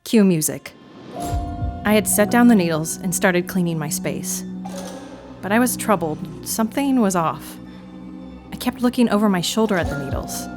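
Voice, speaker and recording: A woman's voice: medium pitch, lively intonation, normal speed, long pauses, lightly acted. Woman playing a frazzled, dramatic knitter, music behind. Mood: troubled, confiding. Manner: theatrical, wry, confiding. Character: frazzled, dramatic female knitter confessing a demon bargain. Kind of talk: audio drama monologue, dark comedy.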